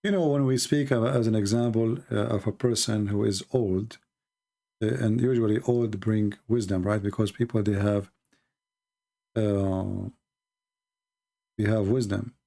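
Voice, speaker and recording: A man with a dark, relaxed voice and a gravelly creak at the edges. He is a non-native English speaker running a live call-in show, speaking off the cuff and needling with provocative questions. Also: lowish voice, unhurried, long pauses. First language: not English. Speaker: live stream host taking calls. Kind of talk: unscripted live call-in debate.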